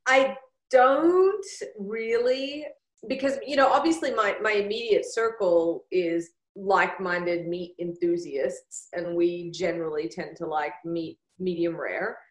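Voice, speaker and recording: A woman's voice: on the low side, slow. Australian woman chatting in an echoey room. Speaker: podcast guest, barbecue cook. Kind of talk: casual interview chat. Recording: roomy, echoing sound.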